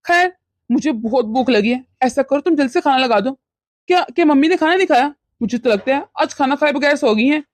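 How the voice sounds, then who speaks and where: एक औरत घरेलू मेलोड्रामा कहानी में माँ के भक्त, नरम और उलझन में पड़े जवान पति का किरदार निभा रही है, जो घबराकर शब्द दोहराता है।. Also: female voice, sits high, expressive, brisk. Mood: puzzled, coaxing. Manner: flustered, repeats words in questions. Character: young husband, devoted son, bewildered and mild. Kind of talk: melodramatic domestic story acting.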